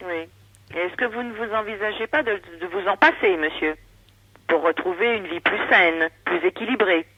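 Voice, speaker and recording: A patient, polite woman working for a helpline, gently reproving in a phone conversation heard over a dull line. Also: medium pitch, normal speed, dry recording. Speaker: alcoholism prevention helpline worker. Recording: dull, dry telephone line.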